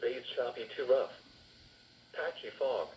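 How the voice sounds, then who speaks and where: A man's synthetic-sounding announcer voice reading scripted forecasts and notices over weather radio in a formal, measured way. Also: medium pitch, lively intonation, a little mellow, far from the mic, a little hiss or hum. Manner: formal, automated, evenly measured delivery. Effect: weather radio broadcast voice. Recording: radio speaker picked up in an echoey room. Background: weather radio playback.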